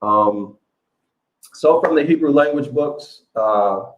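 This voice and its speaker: A man's voice: medium pitch, takes it slowly, highs cut off. A man from the United States, a guest telling his story in a rambling way with many fillers over an online call. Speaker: interview guest, author. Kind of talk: casual storytelling interview.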